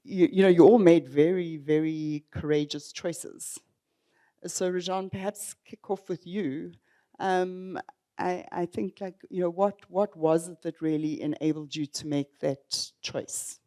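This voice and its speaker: A woman's voice: lowish voice, fairly even intonation, unhurried, warm tone. A woman moderating a panel discussion, speaking hesitantly with frequent ums and restarts.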